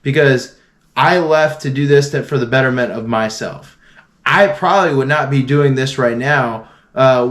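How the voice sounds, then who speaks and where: Earnest young man rambling to camera. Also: medium pitch. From Florida. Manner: earnest, rambling, frequent restarts and fillers. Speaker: vlogger. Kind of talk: casual motivational talk to camera.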